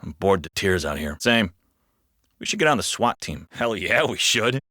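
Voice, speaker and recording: A man's voice: deep voice, very animated, moderate pace, sharp tone, dry recording, clean studio sound, exaggerated voice. A man playing a wry, world-weary, bickering patrol cop in a video game, sardonic and sweary. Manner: sardonic, profane, conversational bickering. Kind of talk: video game character barks and banter.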